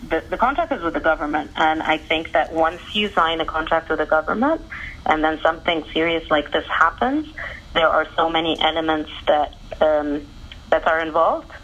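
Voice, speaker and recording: A woman's voice: deep voice, fairly bright tone. Woman on a dull phone line. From Egypt. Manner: earnest, fluent, quick to explain. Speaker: tennis journalist guest. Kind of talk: conversational interview answers.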